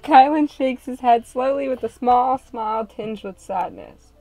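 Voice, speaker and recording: A woman's voice: fairly high pitch, unhurried, mellow, no room sound, soft background music, low-quality recording. A woman hosting a group read-along, switching between casual joking chat and story narration, often poking fun at herself.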